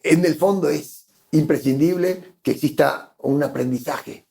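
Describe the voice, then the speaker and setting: A man's voice: fairly high pitch, measured pace, creaky, warm tone. A man from Argentina with an Argentine accent, speaking as a guest economist in a conversational interview, thoughtful and often repeating words while he searches for the phrase. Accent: Argentine Spanish.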